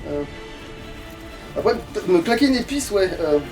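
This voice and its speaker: High-pitched, animated Frenchman playing a game. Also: normal speed, distant mic, over music. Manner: informal, swearing, muttering to himself. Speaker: let's player playing the game. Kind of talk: casual gaming commentary.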